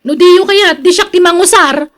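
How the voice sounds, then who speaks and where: High-voiced grown daughter for radio drama woman acting: easygoing, grateful, chatty, pleading tone. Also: moderate pace.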